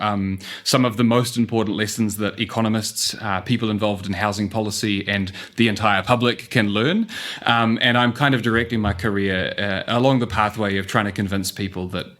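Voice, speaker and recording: A man's voice: lowish voice, fairly bright tone. A man with a New Zealand accent, a guest urban economist, giving thoughtful answers full of ums and uhs. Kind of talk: casual interview answers.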